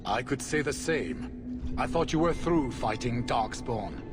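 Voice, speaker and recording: A man's voice: medium pitch, expressive, a little slow, music in the background, lightly acted. A man playing a grave, formal veteran warrior of a solemn order in a fantasy game, with noisy ambience around him. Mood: stern. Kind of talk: cinematic fantasy game dialogue.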